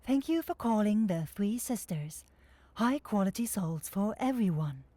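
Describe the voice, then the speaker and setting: Female phone-menu voice, acted, formulaic, polite, measured, medium pitch, for comic fantasy audio. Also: lively intonation, dark tone, a little hiss or hum, close to an everyday voice. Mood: polite, detached.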